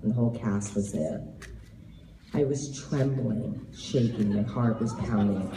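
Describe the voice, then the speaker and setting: A woman in her thirties, slightly hoarse, answering fan questions at a convention panel with laughing, animated storytelling. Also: rumbling low, noisy background. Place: large convention hall with audience. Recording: roomy hall recording from far off.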